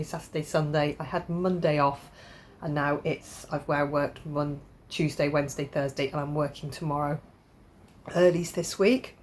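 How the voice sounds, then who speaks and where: A low-voiced woman talks to camera in a relaxed, rambling way, full of ums and false starts. Her nose is blocked from a cold, which thickens her voice. There is a faint hiss in the room behind her. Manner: chatty, rambling, lots of ums and restarts. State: stuffy-nosed from a cold. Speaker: crafting vlogger. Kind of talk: casual chat to camera. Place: home conservatory.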